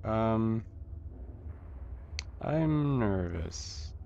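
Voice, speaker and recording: A man giving casual commentary on his own gameplay, muttering and thinking aloud with halting delivery and mild folksy exclamations. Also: fairly low pitch, expressive, creaky, warm tone, muffled recording, a little hiss or hum. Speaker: gamer narrating own playthrough. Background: video game music.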